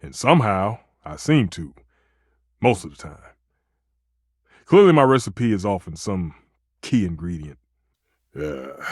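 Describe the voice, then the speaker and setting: An actor playing a proud, temperamental, food-obsessed stove chef in a video game, with pompous, flowery delivery and exasperated outbursts. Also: male voice, low voice, darkish tone, husky, no room sound, clean studio sound, exaggerated voice. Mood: regretful. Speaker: voice actor in a dating simulator game. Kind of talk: theatrical video game character acting.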